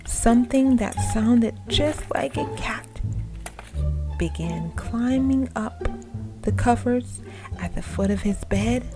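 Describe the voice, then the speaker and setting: A woman storyteller narrating a ghost story in a suspenseful, expressive, dramatic style. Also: fairly high pitch, slow pace. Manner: suspenseful, expressive, dropping to breathy hush. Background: music.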